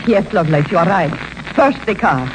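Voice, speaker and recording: An actress playing an imperious, fiery femme fatale who speaks in formal, clipped commands in 1940s radio style. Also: female voice, lowish voice, big pitch swings, moderate pace, loud music behind the voice. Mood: cool, commanding. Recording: noisy vintage radio recording.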